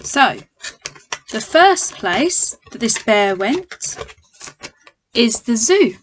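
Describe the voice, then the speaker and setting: A woman's voice: on the high side, very animated, extremely slow, a little hiss or hum, rough recording. A woman reading a children's storybook aloud, speaking expressively and directly to young listeners as a primary school teacher.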